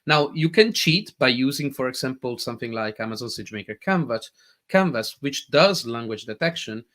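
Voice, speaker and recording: Eager Italian-accented man demoing software. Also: medium pitch, moderate pace. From Italy. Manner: eager, animated explaining. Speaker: tech presenter. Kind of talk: live software demo.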